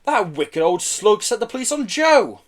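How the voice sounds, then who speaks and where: A man voicing a brisk, decisive, protective mother in a children's story. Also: high-pitched, sing-song, pitched above the performer's own voice. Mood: indignant. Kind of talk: children's story read aloud.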